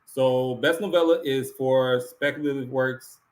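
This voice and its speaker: A man's voice: medium pitch, steady tone, unhurried. A man hosting an awards ceremony, announcing categories, finalists and winners. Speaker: awards show host.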